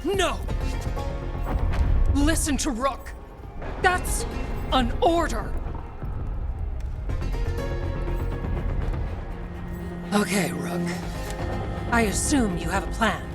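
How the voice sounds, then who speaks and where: A woman playing a dutiful, urgent soldier in a video game, speaking forcefully. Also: medium pitch, measured pace, pauses often, recorded from a distance, music in the background, natural voice. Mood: resolved. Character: urgent, dutiful female soldier pleading with her commander. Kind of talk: dramatic video game acting.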